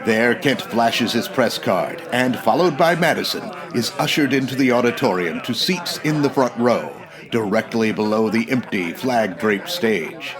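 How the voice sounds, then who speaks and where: An actor playing an earnest, dramatic announcer narrating a scripted old-time radio superhero serial. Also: male voice, medium pitch, lively intonation, a little slow, hardly any pauses, crowd noise, close to an everyday voice.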